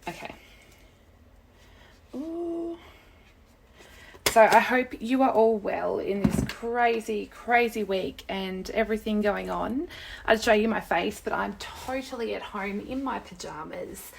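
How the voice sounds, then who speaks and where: A young woman with a croaky, sore-throated voice, hosting a casual live craft tutorial from her desk at home. She rambles chattily, greets viewers by name and keeps apologising. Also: medium pitch, slow pace, a little hiss or hum, low-quality recording. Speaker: craft demonstrator and live-stream host.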